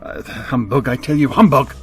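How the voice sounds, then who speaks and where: An actor in a radio play playing a grumpy, frightened old miser who stammers and blusters haltingly. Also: male voice, medium pitch, a bit bright, a little hiss or hum, close to an everyday voice. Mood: skeptical, blustering.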